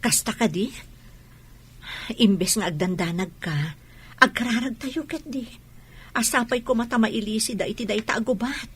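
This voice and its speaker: A woman playing a gentle, comforting mother in a radio drama, speaking in a soothing, reassuring way. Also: on the high side, normal speed, pauses often, husky. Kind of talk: Ilocano radio drama acting.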